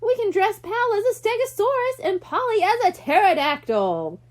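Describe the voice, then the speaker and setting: A woman reading a children's audiobook, voicing an excitable, goofy young boy with an exclamatory, boastful manner. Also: extremely high pitch, normal speed, pitched above the performer's own voice. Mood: silly, excited.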